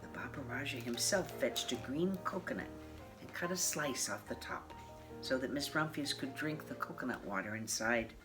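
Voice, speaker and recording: A woman of mature years reading a children's picture book aloud in a measured, expressive way. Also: very deep voice. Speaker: storybook reader. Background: classical piano music.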